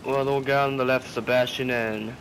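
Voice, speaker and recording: A man playing a laid-back, wry survivor in a game roleplay, pausing as he talks. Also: medium pitch, steady tone, noisy background, lightly acted. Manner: unhurried, pausing. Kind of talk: improvised video game roleplay.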